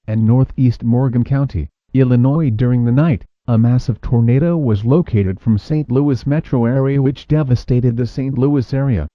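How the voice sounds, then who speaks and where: A man's voice: medium pitch, no room sound. A man reading a scripted emergency weather bulletin as a radio announcer. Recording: dull lo-fi weather radio sound.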